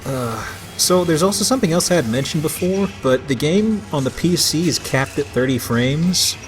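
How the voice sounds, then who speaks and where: A man's voice: a little high, lively intonation, moderate pace, faint music behind, poor audio. A man giving casual let's play commentary as he plays a video game. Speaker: gameplay commentator playing the game.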